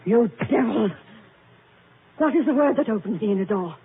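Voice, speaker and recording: A woman's voice: a little high, expressive, moderate pace. An actress playing an imperious, passionate woman in a criminal gang, with commanding, fierce delivery in old-time radio thriller style. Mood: urgent, suspicious. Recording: tinny, noisy old radio recording.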